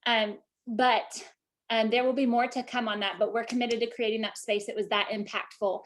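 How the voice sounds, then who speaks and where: A woman's voice: fairly high pitch, little pitch movement, normal speed. Woman team leader on a video call; rambling, casual, lots of fillers.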